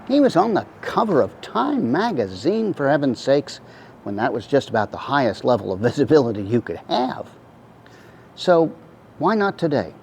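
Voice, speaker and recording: A man's voice: mid-range voice, big pitch swings, moderate pace, vocal fry, darkish tone, a little hiss or hum. A man in his sixties hosting with a scripted but chatty, conversational delivery to camera. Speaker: host.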